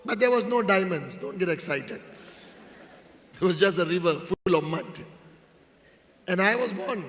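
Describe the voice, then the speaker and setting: High-pitched Malaysian man speaking in a reverberant hall. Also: fairly bright tone. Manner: emphatic, repeats phrases, checks in with listeners. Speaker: motivational speaker. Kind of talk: motivational storytelling speech to an audience.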